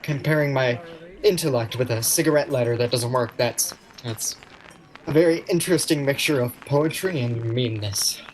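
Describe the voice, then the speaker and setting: A man's voice: mid-range voice, normal speed, close to an everyday voice, poor audio. A man playing an awkward, prickly shop clerk in a vintage-style radio romantic comedy, speaking in a hesitant, wry way. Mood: stung, prickly. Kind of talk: vintage radio play acting.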